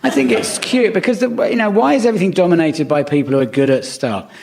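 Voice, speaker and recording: A man ad-libbing comic arguments as a panel guest, excitable and mock-earnest. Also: a little high, fast talker. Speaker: comedy panel show guest. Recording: very noisy live comedy show recording.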